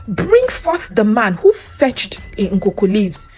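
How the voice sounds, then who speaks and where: A woman voicing a steady, authoritative male village elder in a folktale, measured and commanding. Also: mid-range voice, breathy edge, exaggerated voice. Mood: firm. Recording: music under the voice.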